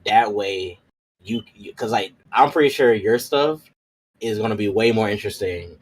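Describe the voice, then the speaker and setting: A man's voice: lowish voice, normal speed, breathy edge, low-quality recording. A man playing a tabletop roleplay, improvising casually in a hesitant, meandering way. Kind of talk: casual in-character improvised chat.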